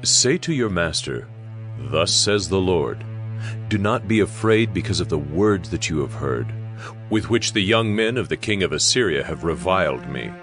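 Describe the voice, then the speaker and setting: A man's voice: sits low, expressive, moderate pace, a bit bright, faint music behind. A man playing a stern prophet who solemnly pronounces divine judgment in a dramatized scripture recording. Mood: solemn, assured. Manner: solemn, declamatory.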